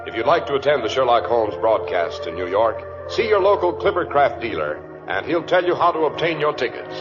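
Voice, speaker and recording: A man's voice: mid-range voice, sing-song, a little fast. A man working as a radio announcer and host, delivering scripted 1940s-style announcements with polished emphasis. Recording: muffled vintage radio, loud music behind.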